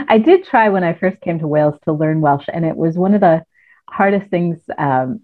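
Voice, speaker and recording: A woman from the United States with a mixed American and British accent, speaking thoughtfully as a guest coach, often pausing with ums. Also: deep voice. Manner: thoughtful, hesitant with frequent ums. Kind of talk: casual interview chat. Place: at home near Cardiff. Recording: tinny phone-like remote call.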